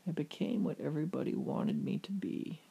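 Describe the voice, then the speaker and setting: Man voicing a plaintive witch woman, raised gravelly voice. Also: on the high side, wildly swooping pitch, fairly quick, dark tone, pitched above the performer's own voice, exaggerated voice. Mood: wounded, sad. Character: misunderstood woman branded a witch, defensive then shyly wounded. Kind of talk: improvised bedtime story dialogue.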